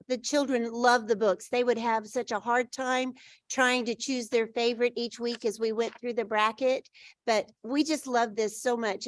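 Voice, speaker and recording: School lady saying thanks, super enthusiastic, highish voice, no echo. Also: a bit bright. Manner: gushing, enthusiastic. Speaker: school educator guest. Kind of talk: informal thank-you remarks.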